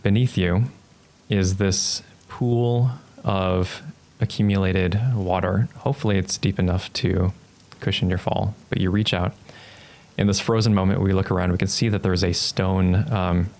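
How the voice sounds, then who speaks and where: A man narrating a tabletop roleplaying game as game master, improvising scenes in a halting, thoughtful way. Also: deep voice, fairly even intonation, vocal fry, a little mellow.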